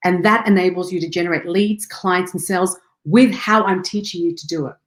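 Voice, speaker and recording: A woman's voice: fairly low pitch, fairly quick, roomy sound. A young adult woman from Afghanistan, now working in Australia, giving an online training on speaking and sales with punchy, motivational energy. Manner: upbeat, punchy motivational delivery with rallying catchphrases.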